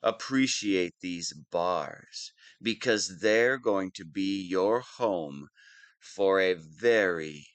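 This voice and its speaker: Pompous, whiny wannabe supervillain, slow-paced. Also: male voice, low voice, clean tone, no echo. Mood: smug, menacing. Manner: pompous monologuing that slips into whining. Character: whiny, theatrical wannabe supervillain, smug but petulant. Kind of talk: scripted audio roleplay monologue.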